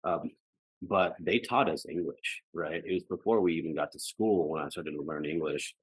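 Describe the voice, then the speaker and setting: Male podcast guest, American, very low voice, dry recording, reflective conversational delivery peppered with check-in tags. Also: normal speed, breathy edge, no echo, low-quality recording. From United States. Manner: frequently ends phrases seeking agreement with "right" and "you know".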